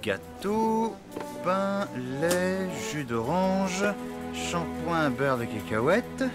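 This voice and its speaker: Animated, flustered cartoon elephant dad. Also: male voice, high voice, extremely slow, full character voice. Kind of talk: animated children's cartoon dub. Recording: loud music behind the voice.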